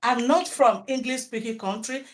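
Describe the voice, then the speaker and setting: A woman's voice: on the high side, moderate pace. Talkative Nigerian woman, Yoruba native. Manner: talkative, repeats words, stops to restart. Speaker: interviewee, teacher. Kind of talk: interview answers.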